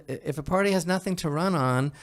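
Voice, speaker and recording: A man co-hosting a podcast, speaking emphatically in halting bursts and piling up synonyms as he comments. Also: a little high. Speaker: podcast co-host.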